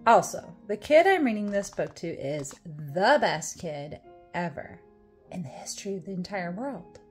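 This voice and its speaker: A woman's voice: fairly low pitch, sing-song, husky, faint music behind. A woman reading a children's picture book aloud in a playful, theatrical way, with exaggerated expression. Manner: playful, exaggerated mock dismay, dramatic drawn-out words and whispers. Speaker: storytime reader.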